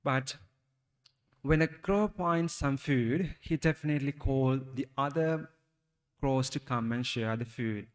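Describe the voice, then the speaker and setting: A man with a Sri Lankan accent, a Buddhist monk giving a talk to young listeners, speaking haltingly and asking them questions. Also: medium pitch, in a large hall. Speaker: Buddhist monk teaching youth. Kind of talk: Dhamma talk for children.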